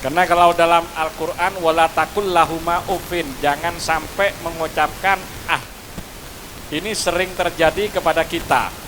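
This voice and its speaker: A man's voice: fairly high pitch, fairly even intonation, normal speed, noisy background. A man from Madura with a Madurese accent, giving a preachy school graduation speech outdoors to parents and students. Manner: preachy, addresses listeners with Javanese honorifics. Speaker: school speaker.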